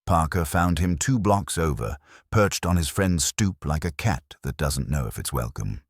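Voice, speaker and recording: A man reading a first-person detective story as narrator, in a wry, measured storytelling manner. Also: extremely low pitch, animated, no echo, studio quality.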